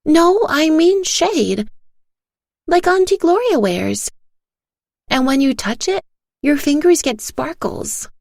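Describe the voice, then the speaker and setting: A woman's voice: sits high, sing-song, takes it slowly, vocal fry, pauses often, darkish tone, no room sound, clean studio sound, voice pushed up, heavily acted. A woman voicing a sweet, earnest little girl with childlike, simple phrasing in an audio storybook. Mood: playful, matter-of-fact. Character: sweet, earnest little girl telling her mother nightmares.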